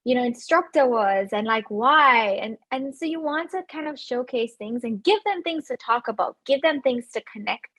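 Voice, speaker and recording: A woman's voice: a little high, lively intonation, normal speed, few pauses, fairly bright tone. A woman with a Jamaican accent, a physician in her thirties, giving chatty, upbeat advice straight to camera with many fillers and restarts.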